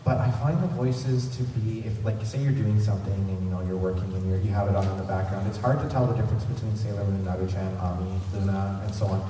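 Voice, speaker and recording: A man's voice: sits low, steady tone, fast, few pauses, warm tone. Echoey man hosting a fan panel. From Canada. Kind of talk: informal convention presentation. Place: large convention centre room. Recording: echoey hall, distant mic, clear noise.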